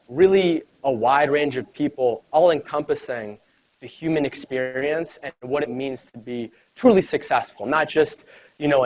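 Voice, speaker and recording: A man's voice: mid-range voice, a little mellow, rough recording. A man, giving a casual, conversational motivational talk to a live audience, with many fillers. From United States. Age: 20s. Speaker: speaker at an event.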